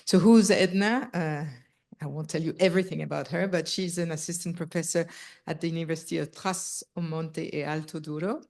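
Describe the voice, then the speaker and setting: A woman's voice: low-pitched, fairly even intonation, fairly quick, gravelly, a little mellow. A woman with a Portuguese accent introducing a keynote speaker at a conference, apologizing for her accent. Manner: apologetic, reading a bio. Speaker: session chair introducing speaker.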